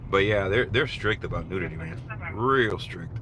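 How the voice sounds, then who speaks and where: Low-voiced man chatting over car noise. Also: normal speed. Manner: informal, rambling. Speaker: livestream host listening to police scanner. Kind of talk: casual livestream chat and commentary. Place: in a vehicle on city streets. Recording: clear car and traffic noise. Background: vehicle and street noise.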